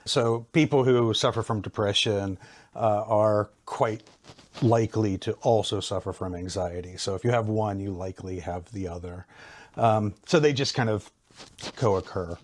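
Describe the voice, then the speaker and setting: A middle-aged man with an American accent explains ideas like a lecturer, in a lowish voice, over a video call. He talks in a measured, hesitant way, with frequent ums and restarts. His delivery stays calm and steady. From Ohio, United States. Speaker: psychology lecturer, guest. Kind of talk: explanatory academic talk.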